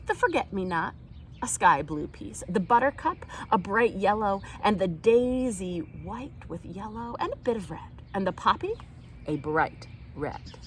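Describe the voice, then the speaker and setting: Animated woman reading a children's storybook. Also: medium pitch, measured pace, a little hiss or hum. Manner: animated, acts out the characters' lines. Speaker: storytime reader. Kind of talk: expressive read-aloud of a children's picture book.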